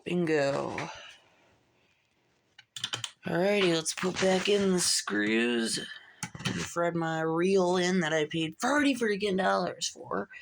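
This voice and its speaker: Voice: mid-range voice, vocal fry, fairly bright tone, slight background noise. A hobbyist narrating a hands-on repair casually and informally, muttering asides while working. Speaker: hobbyist repairer showing a fix.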